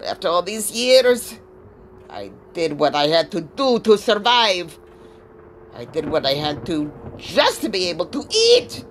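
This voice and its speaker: A woman's voice: lowish voice, big pitch swings, slight background noise, low-quality recording. A woman playing a weary old female spirit medium, solemn and foreboding, in a theatrical audio drama. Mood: haunted, grave. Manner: solemn, dramatic, deliberate pronouncements.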